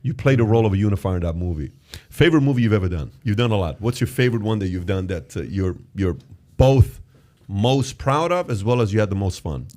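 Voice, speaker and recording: A man's voice: fairly low pitch, lively intonation, fast, dark tone. A man from Iran hosting a podcast, chatting casually and animatedly, exclaiming and acting out quoted voices. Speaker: podcast host.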